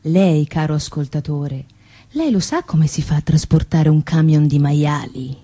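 A woman's voice: low-pitched, measured pace, dark tone, husky. An actress playing an opinionated provincial woman in a satirical radio play, speaking with a Tuscan accent in an emphatic, insistent way. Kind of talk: satirical character monologue for radio theatre.

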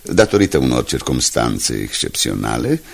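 An actor playing a formal, insistent newspaper envoy in a radio drama, with a gravelly, husky voice. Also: male voice, rumbling low, big pitch swings, exaggerated voice. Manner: formal, smoothly insistent business speech. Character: courteous, determined male newspaper group envoy.

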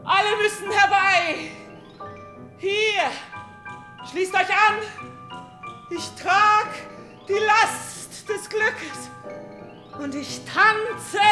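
Slow, fervent tragic princess. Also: female voice, very high-pitched, full character voice. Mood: ecstatic. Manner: declamatory, fervent, deliberate. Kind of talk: intense classical German radio tragedy acting.

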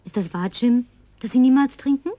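A woman's voice: on the high side, brisk, warm tone, no echo, close to an everyday voice. An actress playing a self-assured, flirtatious woman who likes a drink, in natural German radio play dialogue with a breezy, teasing, commanding manner. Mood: playful, flirtatious.

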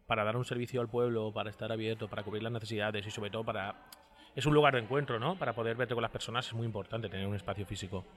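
Fast-talking man from being interviewed. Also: fairly low pitch, fairly bright tone. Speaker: bookshop owner being interviewed. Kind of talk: conversational interview answers.

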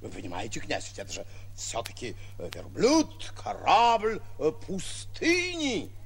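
Pompous, excitable amateur adventurer, 1940s radio comedy. Highish husky voice, halting, very theatrical. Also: male voice, full character voice. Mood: excited. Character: boastful excitable provincial would-be lion hunter, naively awestruck. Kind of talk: 1940s stage-style radio acting. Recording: muffled vintage 1940s radio recording.

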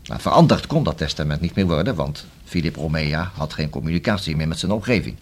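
Probing police inspector, radio play: low husky voice, blunt yet courteous, widely varied intonation. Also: male voice, expressive, quick pace, heavily acted. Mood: explaining, intent. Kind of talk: Dutch radio drama dialogue.

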